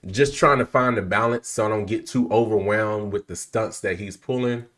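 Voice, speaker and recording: A man's voice: lowish voice, moderate pace, a bit bright, a little hiss or hum. A man co-hosting a podcast in casual chat, easygoing and agreeable, getting over a head cold. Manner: easygoing, agreeable, fills with um and you know. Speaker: podcast co-host.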